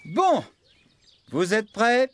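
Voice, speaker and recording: An actor playing a cheerful, eager farmhand and amateur bandleader in a children's cartoon, speaking in an animated, exclamatory way. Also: male voice, sits high, drawn-out words, exaggerated voice. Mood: enthusiastic.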